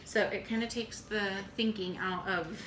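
A woman in her thirties casually showing her meal prep at home, talking in an unhurried way with many fillers. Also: medium pitch, steady tone. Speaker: fitness coach vlogger. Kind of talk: casual how-to vlog. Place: home kitchen, indoors. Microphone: camera on the counter. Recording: echoey kitchen with room noise.

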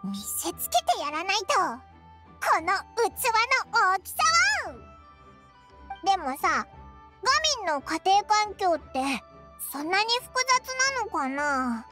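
A tiny floating fairy companion for an anime game. The voice is extremely high and childlike, leaping up and down in pitch. She is cheeky and excitable, with brash boyish wording, over music. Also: slow pace, exaggerated voice. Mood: puzzled, boastful. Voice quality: childlike, squeaky. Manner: brash, rough boyish phrasing.

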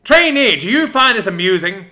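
A man's voice: sits high, animated, fast talker, pitched above the performer's own voice, exaggerated voice. A man doing a bellowing drill sergeant. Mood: barking. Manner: shouting orders, clipped and commanding. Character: barking male Air Force drill sergeant, strict.

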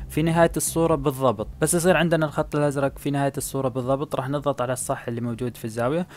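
A man's voice: mid-range voice, fairly even intonation, rapid-fire, slight background noise. A man giving a step-by-step screen tutorial, with frequent "aah" fillers. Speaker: video editing tutor. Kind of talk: screen-recorded app tutorial.

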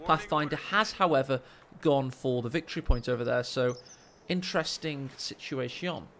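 A man with a deep voice casting a strategy game live, excitable and joking, speaking into a desk microphone in a small room at home. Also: moderate pace, slight background noise. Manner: excitable, jokey, rising with the action. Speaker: game caster. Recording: game sound effects under the voice. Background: game gunfire and ambience.

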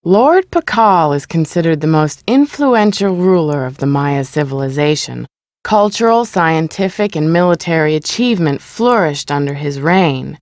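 A woman giving scripted educational narration as a museum exhibit guide in a video game, measured, formal and explanatory. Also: sits low, animated, darkish tone, dry recording, clean studio sound.

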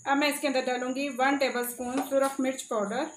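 High-voiced woman teaching a recipe. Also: fairly even intonation, brisk. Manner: instructive, addresses viewers directly. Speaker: cooking channel host. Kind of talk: step-by-step recipe narration. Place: home kitchen, indoors. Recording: echoey room with faint background noise.

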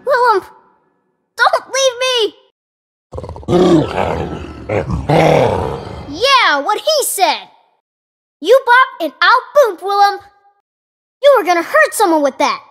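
A plucky young boy hero from a video game, with a very high, wildly animated voice. He is exuberant and playful, calling out bravely in unhurried, bright tones. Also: full character voice. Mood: eager, earnest. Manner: exuberant, playful, heroic exclamations. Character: excitable, brave young boy adventurer with a yeti friend.

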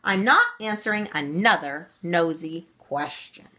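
A woman's voice: fairly low pitch, expressive, measured pace, sharp tone. A woman voicing a crafty, grumpy old male leprechaun cobbler with a lilt, full of blarney. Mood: stubborn. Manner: blarney-laden, stubborn, griping.

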